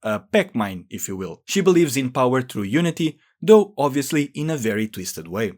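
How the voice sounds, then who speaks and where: A man's voice: medium pitch, sing-song, normal speed, a little mellow. A man presenting game lore in conversational scripted narration, explaining things with informal asides.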